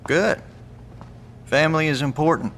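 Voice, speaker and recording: An actor playing a wary, plain-spoken apocalypse survivor in an audio drama, with a folksy, laconic way of talking. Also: male voice, on the high side, measured pace, a bit bright, slight background noise. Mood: measured. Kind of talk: naturalistic audio drama dialogue.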